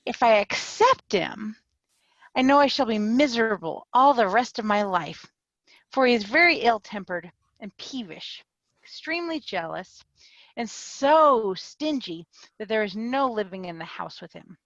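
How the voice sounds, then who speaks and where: A woman's voice: a little high, a little slow, no room sound. A woman reading the part of a vain, fickle young woman weighing a marriage offer, in a dramatic reading over a video call. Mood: giddy. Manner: giddy, changeable, animated delivery. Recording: dull lo-fi video call audio.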